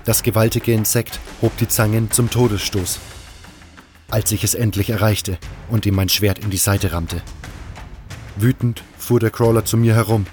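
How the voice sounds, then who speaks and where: A man's voice: lowish voice, darkish tone. A man narrating a scripted first-person fantasy audio drama.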